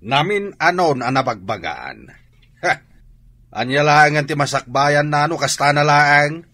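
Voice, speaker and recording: An actor playing a stern, hot-tempered farming father, gruff and scolding, in a radio drama. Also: fairly high pitch, animated, unhurried, pushed and bright, exaggerated voice. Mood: angry, scolding.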